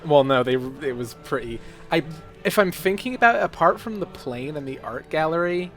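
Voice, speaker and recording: A man's voice: on the high side, expressive, normal speed, a bit bright. A man on a discussion panel talking casually with a dry, sardonic manner. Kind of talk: casual chat. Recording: music playing behind the voice.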